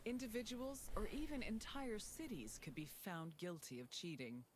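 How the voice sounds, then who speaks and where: A woman reading scripted documentary narration for a history game tour, in a measured, informative way. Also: medium pitch, dry recording.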